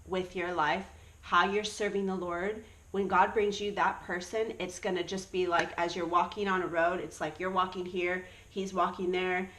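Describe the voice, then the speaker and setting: A woman's voice: fairly low pitch, fairly bright tone, poor audio. A woman in her early thirties sharing her personal faith testimony in an earnest, encouraging way. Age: 30s. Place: Australia.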